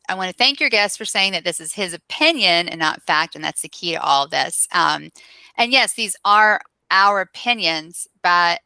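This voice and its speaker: Woman host, low-pitched, bright and crisp, dry close sound, friendly. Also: no room sound. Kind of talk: live-stream interview. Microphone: video call.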